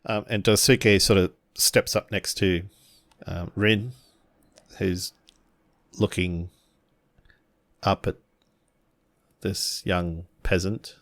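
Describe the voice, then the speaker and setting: A man's voice: deep voice, steady tone, takes it slowly, long pauses, a bit bright, no echo. A man improvising narration of his character's actions in a tabletop roleplaying game, hesitant and searching for words. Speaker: tabletop role-player.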